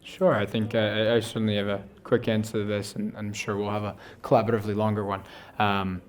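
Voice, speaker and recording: A young man, a startup founder on a conference panel, talking quickly and eagerly, often tagging his points with "right?". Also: lowish voice, level tone. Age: young adult. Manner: rapid, punctuates with "right?". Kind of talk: fast, enthusiastic pitch talk. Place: conference stage before an audience.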